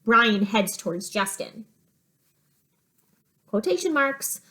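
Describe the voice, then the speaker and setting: Higher-voiced woman teacher reading aloud. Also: big pitch swings, slow, bright, forceful tone. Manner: explanatory, simple wording for children. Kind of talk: picture-book read-aloud with teaching asides.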